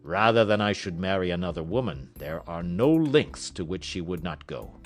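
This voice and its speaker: Male narrator as solemn storybook king, very low voice, proud, anxious, formal and deliberate. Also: lively intonation, faint music behind. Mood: grave, insistent.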